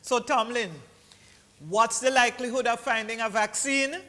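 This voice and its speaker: A man with a notably high voice moderates an online panel over a video call. He reads out questions hesitantly and keeps the speakers to time. His voice carries a long reverb from the room. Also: steady tone, normal speed, sharp tone. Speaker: forum moderator.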